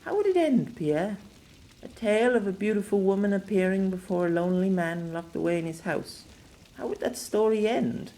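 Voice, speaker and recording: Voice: mid-range voice, animated, normal speed, mellow, voice pushed up, close to an everyday voice, poor audio. A narrator voicing a young magical woman, tearful but resolute, in an audiobook. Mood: tearful pleading. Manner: pleading, emotional. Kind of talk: audiobook character voice.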